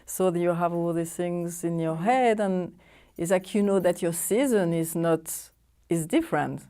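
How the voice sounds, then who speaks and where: Woman TV host, dark mellow tone, gentle and reflective, murmurs along. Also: on the low side, a little slow. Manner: gentle with reflective imagery and frequent soft "mm-hmm". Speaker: TV talk-show host. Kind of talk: TV interview.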